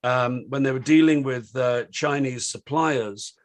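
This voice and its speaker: A British man with a slightly croaky voice opens a panel discussion over a video call. He talks in a rambling, hesitant way, stopping and restarting with plenty of ums and uhs. His pitch sits in the middle range. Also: a little slow. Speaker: host of an online panel discussion.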